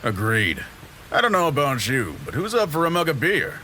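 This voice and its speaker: Man acting an easygoing, bright-voiced soldier. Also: fairly high pitch, very animated, normal speed, a little hiss or hum. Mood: nonchalant. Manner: casual, flippant. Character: easygoing male imperial soldier keen on beer. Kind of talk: fantasy audio drama acting.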